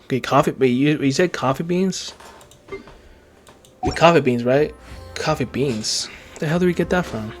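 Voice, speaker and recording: A man's voice: fairly high pitch, normal speed, pauses often, faint music behind. A man giving casual gameplay commentary, muttering and repeating words to himself. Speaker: game streamer.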